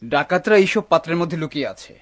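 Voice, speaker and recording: Husky, earnest young cartoon son. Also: male voice, fairly high pitch, expressive, quick pace. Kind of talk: dubbed cartoon acting.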